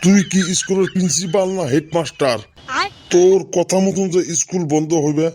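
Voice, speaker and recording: A man voicing a gruff, exasperated cartoon father with a northern Bengali accent, scolding and threatening. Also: high-pitched, steady tone, normal speed, mellow, slight background noise, pitched below the performer's own voice, natural voice. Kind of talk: comedy cartoon voice acting.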